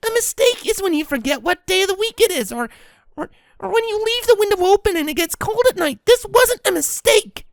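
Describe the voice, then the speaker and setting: Young cartoon filly: very high, swooping voice, wounded and pleading, faltering delivery, dry close sound. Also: female voice, lively intonation, brisk, dry recording. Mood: hurt, bitter. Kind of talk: dramatic audio story acting.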